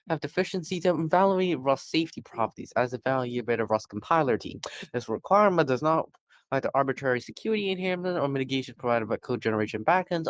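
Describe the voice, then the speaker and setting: A man's voice: mid-range voice, no room sound. A man reading a technical policy document aloud, often stumbling over words and correcting himself. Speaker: reader.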